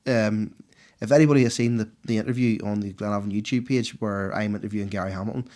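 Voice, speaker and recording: Hoarse, enthusiastic Northern Irish man. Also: fairly low pitch, fast. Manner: enthusiastic, animated, laughs easily. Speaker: podcast co-host giving the sport round-up. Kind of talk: casual podcast chat.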